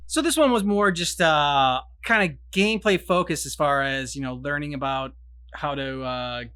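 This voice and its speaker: A man hosting a reaction video, chatting casually with halting, rambling explanations. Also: fairly high pitch, sharp tone, clean tone. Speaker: host of game cutscene reaction videos.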